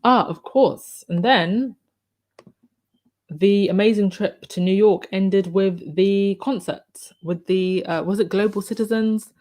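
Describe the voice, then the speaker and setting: Croaky-voiced woman hosting a live stream. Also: medium pitch, slow pace, a little mellow. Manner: chatty, restarts sentences with frequent ums. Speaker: livestream host reading viewer comments. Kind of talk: casual live-stream chat.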